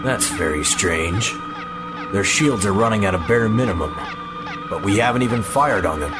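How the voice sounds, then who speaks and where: Dutiful tactical officer male actor, clipped reports, sci-fi audio drama, loud score behind. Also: on the low side, lively intonation, normal speed, loud music behind the voice, lightly acted. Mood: alert.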